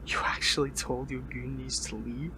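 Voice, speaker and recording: A man performs a captured male superhero, bitter and defiant, in a dramatic audio roleplay. His mid-pitched voice is strained and halting, dropping into breathy taunts. A steady noise sits clearly behind the words. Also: close to an everyday voice.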